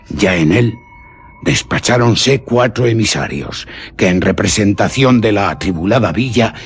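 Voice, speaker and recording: A man narrating a suspense radio story in a solemn, deliberate manner. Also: low voice, breathy edge.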